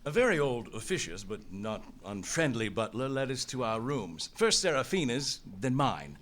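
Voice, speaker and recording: Actor as an earnest, animated young adventurer hero. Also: male voice, medium pitch, normal speed. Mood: weary narration. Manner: earnest, dramatic, literary phrasing. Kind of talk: live old-time radio play acting and narration.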